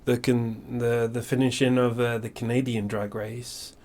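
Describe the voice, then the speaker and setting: A man co-hosting a casual podcast chat, laid-back and quick to agree. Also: mid-range voice, little pitch movement, darkish tone. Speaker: podcast co-host. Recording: faint background noise.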